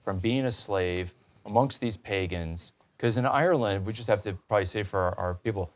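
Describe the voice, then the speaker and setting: Male interview host, lower-pitched, conversational delivery, asking questions and offering commentary. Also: brisk. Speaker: talk show host.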